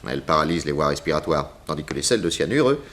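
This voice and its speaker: An actor playing a self-assured, jovial private detective in a French radio drama, inquisitive and wry in a conversational way. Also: male voice, rumbling low, very animated, quick pace, fairly bright tone, heavily acted. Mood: probing, wry.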